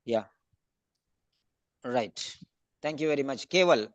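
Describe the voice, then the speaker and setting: A man teaching an online speaking class over a video call, briskly calling on students by name for feedback. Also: medium pitch, animated, no room sound, highs cut off.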